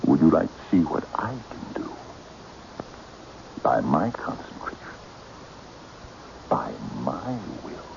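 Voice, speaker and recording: A sinister cult master with an extremely low, swooping voice. He is grandiose and condescending, coaxing like a hypnotist in an old radio mystery, on a noisy recording. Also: sing-song, slow pace, creaky, pauses often, highs cut off, heavily acted. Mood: hypnotic, coaxing. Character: theatrical, sinister occult leader, imperious and coaxing.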